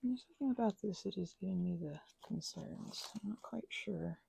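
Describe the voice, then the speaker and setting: A woman in a soft-spoken ASMR crafting ramble, talking close to the microphone in a meandering way and often slipping into whispers. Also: medium pitch, normal speed, gravelly, breathy edge, no room sound.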